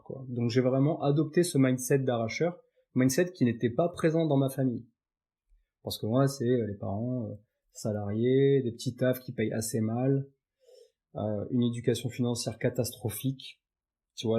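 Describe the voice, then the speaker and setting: Un homme invité d'un podcast, qui raconte son parcours sur un ton de conversation détendue, avec beaucoup d'hésitations et de reprises. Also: male voice, mid-range voice, mellow. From Paris suburbs, France. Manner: chatty, many fillers and restarts. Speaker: podcast guest. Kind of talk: casual interview chat.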